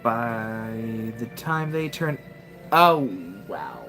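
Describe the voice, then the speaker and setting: A woman's voice: extremely low pitch, animated, drawn-out words, a bit bright, faint music behind. A woman in her twenties casually commenting on her gameplay during a live stream, wry and joking, often exclaiming to herself. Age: 20s.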